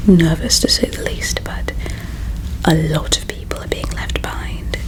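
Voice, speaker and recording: A woman voicing a gentle, melancholy alien palace servant in an ASMR roleplay, speaking in a hushed, halting, confiding way. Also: mid-range voice, vocal fry, poor audio. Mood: hushed, worried. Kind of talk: ASMR roleplay, soft whispering.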